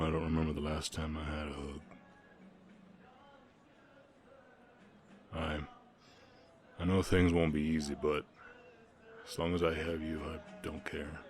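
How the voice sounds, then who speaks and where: Orc cowhand played by a man: extremely low-pitched Southern drawl, gentle, reserved, halting, for intimate ASMR romance. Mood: tender, relieved. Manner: halting, sighing, earnest. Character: gentle, reserved orc ranch hand in love. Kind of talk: intimate ASMR romance roleplay, Western setting.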